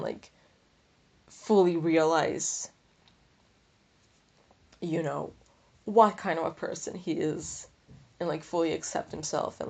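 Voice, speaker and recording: A woman hosting a book podcast in casual, unscripted talk, thinking aloud in a rambling, opinionated, slangy way. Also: medium pitch. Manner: informal, slangy, freely swearing. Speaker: book review podcast host.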